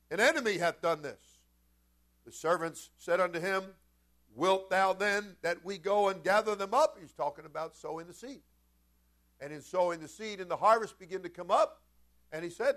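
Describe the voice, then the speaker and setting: A man preaching a sermon as a pastor, folksy and informal, moving between conversational storytelling and emphatic, animated delivery. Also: sits high, moderate pace. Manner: animated, swinging from quiet conversational asides to loud emphatic shouts. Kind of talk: Pentecostal-style sermon with storytelling.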